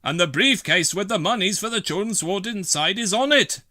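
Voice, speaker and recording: A man's voice: high voice, animated, normal speed, pushed and bright, no room sound, clean studio sound, pitched above the performer's own voice. A man playing an earnest, anxious and kindly fireman in a children's storybook reading. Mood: worried.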